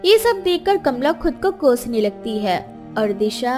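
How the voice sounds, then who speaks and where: A woman's voice: high voice, sing-song, measured pace, darkish tone, soft background music. A woman storyteller reading scripted narration of a moral tale.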